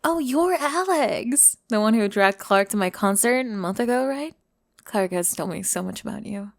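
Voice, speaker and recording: A woman's voice: medium pitch, expressive, normal speed, vocal fry, no room sound. A woman playing a sweet pop star, close and dry. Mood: friendly, coy. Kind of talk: scripted ASMR audio roleplay.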